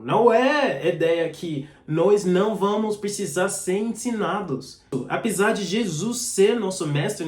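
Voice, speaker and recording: Earnest preacher with a foreign accent, echoey room. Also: male voice, high-pitched. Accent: foreign accent in Portuguese. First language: not Portuguese. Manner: earnest, direct teaching, reading out verses. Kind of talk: sermon.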